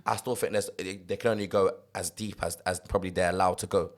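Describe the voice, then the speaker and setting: A young man from South London with a London accent, co-hosting a casual podcast chat, animated and repeating words as he gets going. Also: low voice, fast talker. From South London, England. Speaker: podcast co-host.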